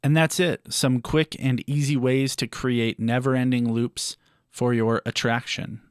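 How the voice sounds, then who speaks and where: A man presenting a step-by-step audio software tutorial in a measured, explanatory way. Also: mid-range voice, level tone, clean tone, dry recording, studio quality.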